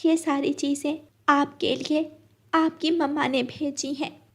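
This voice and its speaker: A woman's voice: sits high, fairly even intonation, slow, voice pushed up. A woman voicing a kind, protective, worried mother sparrow in a cartoon, with a soothing, slightly hesitant manner. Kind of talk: cartoon character acting.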